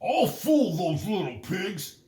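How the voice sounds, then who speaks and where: A man voicing a sly, menacing big bad wolf in a children's storybook, with theatrical, drawn-out calls. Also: sits high, sing-song, warm tone, reverberant, pitched above the performer's own voice, exaggerated voice.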